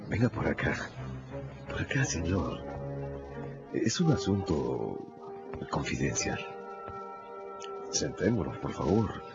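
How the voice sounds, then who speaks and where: A scheming, hard-drinking English lawyer for a radio drama. The voice is very deep and swings widely in pitch as he urges and confides. Music sits behind the slightly dull recording. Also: big pitch swings, slow, pauses often, heavily acted. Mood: sly confidential pressure. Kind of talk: Spanish-language radio drama acting.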